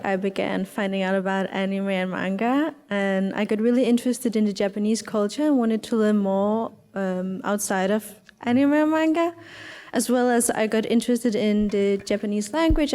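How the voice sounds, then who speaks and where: Mellow young woman, chatty. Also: medium pitch, in a large hall. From Denmark. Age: young adult. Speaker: student panelist.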